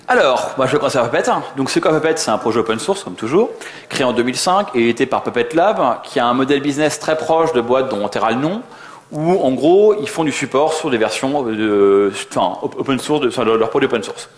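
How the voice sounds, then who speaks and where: A man's voice: medium pitch, lively intonation, fairly quick. A man giving an informal technical conference talk in a colloquial, self-deprecating, joking way. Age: middle-aged. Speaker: conference speaker. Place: indoor conference venue. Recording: echoey conference room.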